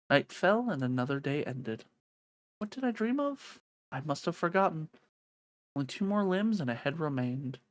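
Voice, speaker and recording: A woman streamer reading a visual novel aloud in a theatrical storytelling manner. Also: sits low.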